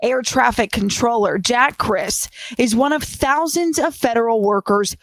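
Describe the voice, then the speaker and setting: A woman narrates a scripted news package as a reporter. Her voice is fairly high, and the recording is dry with no room sound. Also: a little slow, no room sound.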